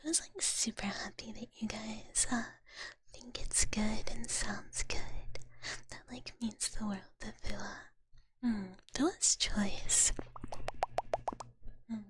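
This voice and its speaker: A woman hosting a live ASMR stream, speaking to her chat in a soft, breathy, mostly unvoiced whisper with a gentle, reassuring manner. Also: fairly high pitch, steady tone, slow, long pauses. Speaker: livestream ASMR host.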